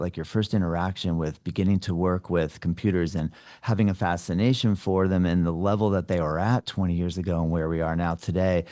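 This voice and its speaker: Low, mellow-voiced male podcast host. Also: no room sound, clean studio sound. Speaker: podcast host interviewing a guest. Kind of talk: casual conversational interview.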